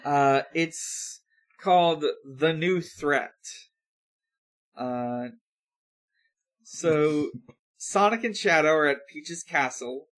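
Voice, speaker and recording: A man hosting a podcast, chatting casually through a recap with a halting, hesitant delivery and frequent pauses. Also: on the high side, fairly bright tone. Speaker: podcast host. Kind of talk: casual recap talk with co-hosts.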